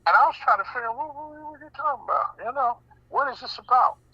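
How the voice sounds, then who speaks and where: A man talking on a radio show in an animated, folksy way, often repeating words as he goes. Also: very high voice, quick pace, no echo, muffled recording. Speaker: guest speaker.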